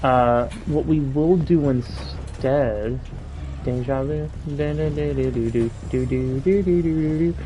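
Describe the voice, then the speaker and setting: A man's voice: mid-range voice, takes it slowly, a little hiss or hum, poor audio. A man giving casual let's play commentary, joking and swearing as he reacts to the game. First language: not Russian. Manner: joking, profane, excitable. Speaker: gaming streamer.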